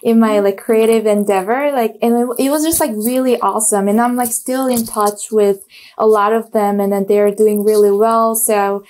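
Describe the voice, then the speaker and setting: A young woman with a Korean accent speaks in a middle-pitched voice, telling her story in a relaxed, halting way. She fills pauses often and restarts her sentences. She is recorded over an earphone mic on a video call from a small home room. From South Korea. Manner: many fillers and restarts, searching for words. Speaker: guest, designer. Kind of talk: casual interview chat.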